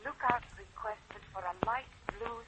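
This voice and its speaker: An actress playing a female police radio dispatcher reading a message over the radio, flat and clipped. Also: a little high, a little slow, slight background noise, low-quality recording.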